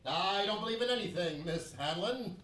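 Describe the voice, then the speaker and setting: An actor playing an irascible, cynical 19th-century American newspaper editorialist in a theatrical, sardonic stage radio play. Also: male voice, high voice, expressive, moderate pace, a bit bright. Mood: dry, cynical. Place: playhouse stage. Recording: echoey hall, distant mics, faint noise.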